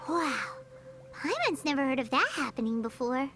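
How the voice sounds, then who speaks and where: Squeaky, cheeky little fairy girl. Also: very animated, normal speed. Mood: amazed. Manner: childlike, squeaky, speaks of self in third person. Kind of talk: animated video game voice acting. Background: prominent game music.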